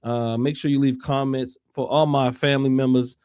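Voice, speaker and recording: An American man hosting a casual livestream discussion, speaking haltingly and repeating phrases for emphasis. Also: mid-range voice, little pitch movement, darkish tone, clear, resonant tone, dry recording. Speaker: online show host.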